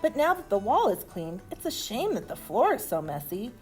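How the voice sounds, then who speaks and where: A woman's voice: fairly high pitch, sing-song, normal speed, soft background music, rough recording. Woman voicing a proud, emphatic storybook papa pig. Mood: agreeable.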